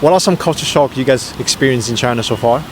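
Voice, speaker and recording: A man's voice: medium pitch, fast. A man hosting street interviews, asking short, direct questions of travelers. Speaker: host. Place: busy city street outdoors. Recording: street noise behind.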